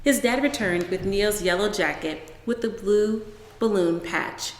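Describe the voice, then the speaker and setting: A woman reading a children's story aloud as narrator, in an expressive style. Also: mid-range voice, measured pace, a little hiss or hum. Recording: roomy echo with long reverb.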